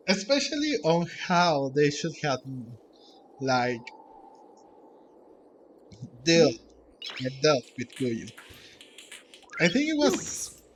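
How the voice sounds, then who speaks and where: A man's voice: a little high, a little hiss or hum, low-quality recording. A man hosting a gaming video, giving casual, hesitant play-along commentary and often repeating himself.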